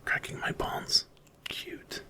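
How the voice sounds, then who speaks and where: A whispering swarm-hive creature, acted out by a man for an ASMR story. It is cocky and savage, trash-talking with menace in slow, hushed phrases. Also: lightly acted. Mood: menacing.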